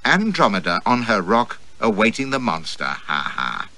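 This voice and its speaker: A man voicing a lustful, blustering Victorian gentleman rogue with a British accent in an audiobook. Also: fairly low pitch, wildly swooping pitch, moderate pace, heavily acted. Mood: smug amusement. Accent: British English. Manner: growling, mock-threatening, smug.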